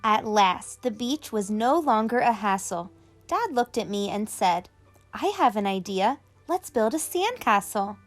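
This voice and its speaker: A woman's voice: mid-range voice, animated, a little slow, faint music behind. A woman hosting a children's read-aloud, speaking expressively and directly to young listeners.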